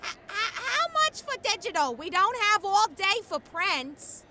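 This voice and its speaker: A woman plays a bossy, excitable witch heroine in a radio drama. Her voice is very high and animated, taken at an unhurried pace, with music playing underneath. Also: background noise, exaggerated voice. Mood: brisk, upbeat. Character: bossy, excitable young witch leading a monster-hunting team. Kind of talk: audio drama acting.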